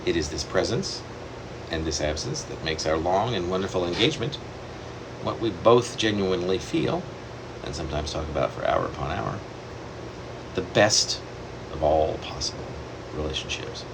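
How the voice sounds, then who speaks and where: A man reading his own poem aloud in a measured, reflective way, pausing deliberately between phrases. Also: low-pitched, creaky. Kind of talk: poetry reading. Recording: steady air-conditioner hum behind the voice.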